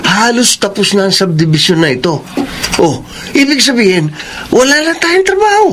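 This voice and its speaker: A poor, scheming Filipino workman in a radio drama. His voice sits a little high and swings widely with theatrical emphasis. There is slight noise in the recording. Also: sing-song, normal speed, fairly bright tone. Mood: sly, scheming. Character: scheming poor male laborer, fast-talking, worn down.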